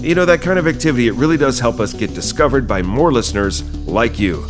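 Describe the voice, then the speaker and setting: A man's voice: mid-range voice, animated, fast, music in the background. Male podcast host chatting casually. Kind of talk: casual interview chat and show outro.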